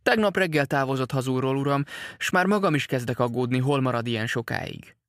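A man's voice: medium pitch, lively intonation, a bit bright, no room sound, studio quality, lightly acted. A man voicing a worried, talkative boatman's wife, rambling on about her absent husband in an anxious, chattering way. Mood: fretful chatter.

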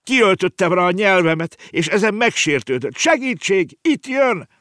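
Man voicing an indignant little girl, pitched up high. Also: wildly swooping pitch, no room sound, clean studio sound. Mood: wailing, frightened. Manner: indignant, loud, tearful outbursts. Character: feisty, indignant little girl, self-proclaimed princess.

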